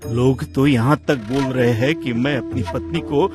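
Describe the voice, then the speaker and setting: A man playing a troubled young village husband in a Hindi animated moral story. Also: medium pitch, a little slow, close to an everyday voice. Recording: music bed under the voice.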